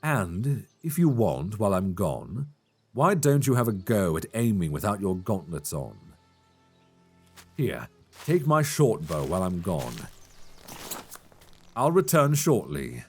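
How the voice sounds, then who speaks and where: A man voicing a good-humored, teasing elf hunter in a soft ASMR fantasy roleplay, close to a binaural microphone. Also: on the low side, sing-song, takes it slowly, pauses often, soft background music, exaggerated voice. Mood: friendly, easygoing. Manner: playful, gently instructing, chuckling often. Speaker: voice actor in audio roleplay.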